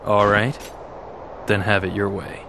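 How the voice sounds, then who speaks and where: A man's voice: fairly low pitch, measured pace, gravelly, noisy background. An actor playing a stern, brooding young swordsman in a video game, terse and coolly dismissive. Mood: cold, menacing. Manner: terse, flat and serious. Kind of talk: video game cutscene acting.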